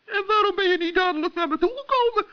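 Little male forest gnome: extremely high, chirpy, kindly, curious, gently scolding; muffled old radio-play sound. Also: fast. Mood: sceptical. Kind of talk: children's radio play acting. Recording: dull old radio recording.

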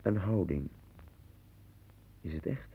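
Husky, deep, domineering radio-play friend. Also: male voice, takes it slowly, mellow, heavily acted. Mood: confiding, suspicious. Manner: assertive, lecturing, pompous. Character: blunt, domineering friend, lecturing and faintly pompous. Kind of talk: 1960s Dutch radio play acting. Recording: tinny, band-limited 1960s radio recording.

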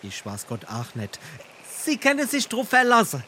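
Excitable, bright-voiced Hessian suitor. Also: male voice, sits high, moderate pace, slight background noise, full character voice. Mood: bashful. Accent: Darmstadt Hessian. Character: awkward, bashful, hot-headed young male suitor. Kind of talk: theatrical dialect comedy acting.

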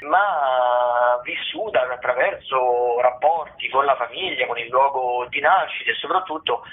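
Thin telephone-quality sound, man, mid-pitched voice, radio presenter, halting semi-improvised rundown, drawn-out words. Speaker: radio presenter of theatre listings. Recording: thin phone-like band-limited radio sound.

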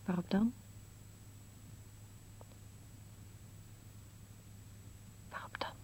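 A woman's voice: fast talker, a little mellow, dull audio, natural voice. A woman playing an anxious, querulous old wife in an absurdist radio play, asking short, insistent questions, often half-whispered. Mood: frightened, pleading.

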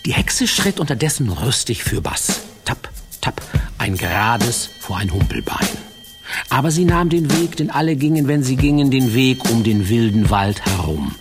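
A man narrating a fairy-tale radio play in a wry, playful storytelling manner with drawn-out emphasis. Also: medium pitch, lively intonation, over music.

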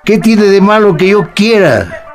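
A man's voice: high-pitched, sing-song, unhurried, soft background music, full character voice. A man acting a decent young nephew in a radio drama, mildly protesting. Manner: mild, protesting. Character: gentle, decent young nephew, pleading and respectful.

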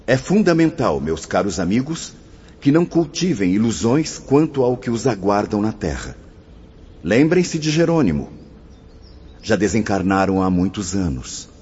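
An actor playing a stern but caring spirit counsellor in a radio drama, husky, formal and admonishing, with a Brazilian accent. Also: male voice, fairly low pitch, sing-song, a little slow, a little mellow, full character voice. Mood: sober, admonishing. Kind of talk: scripted radio drama acting. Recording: muffled, long hall reverb.

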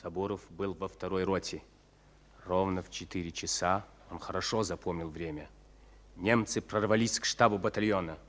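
Low-voiced solemn male narrator. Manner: solemn, measured phrasing. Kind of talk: scripted dramatic literary narration.

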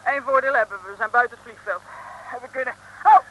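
A woman playing an excitable, fretful young female detective's sidekick in a comic Dutch radio serial. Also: fairly high pitch, fast talker, close to an everyday voice. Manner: squealing, fretful. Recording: noisy old radio recording.

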